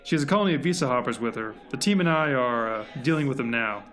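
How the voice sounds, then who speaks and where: Audio drama senior agent, male actor: stern, commanding, clipped, medium pitch over music. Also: animated, quick pace, a bit bright, natural voice. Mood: dry. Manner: curt, commanding, dry.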